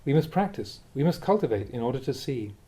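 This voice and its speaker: A man, a Buddhist monk, reading a dharma talk aloud in a measured, reflective way with the occasional soft chuckle. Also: mid-range voice, sing-song.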